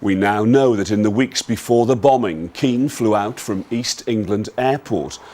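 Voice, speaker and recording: A man's voice: fairly low pitch, moderate pace, mellow. A man narrating a dramatised film from a script, in the style of a news report.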